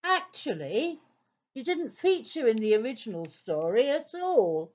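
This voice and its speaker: A woman playing a patient storyteller in a children's audio play, narrating in a deliberate, expressive, theatrical way. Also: a little high, dull audio. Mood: exasperated, teasing. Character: patient female storyteller, gently exasperated with her characters.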